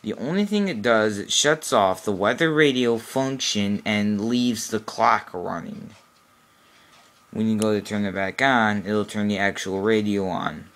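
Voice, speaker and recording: Male product demonstrator, lowish voice, matter-of-fact, unscripted step-by-step walkthrough. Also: unhurried, background noise. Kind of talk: informal how-to tutorial, unscripted. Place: small cluttered room indoors.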